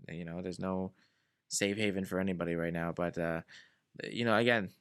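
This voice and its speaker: A man's voice: deep voice, steady tone, normal speed, a bit bright, clean tone. A man from Venezuela, a guest in a casual conversation, talking in a laid-back way and giving earnest advice.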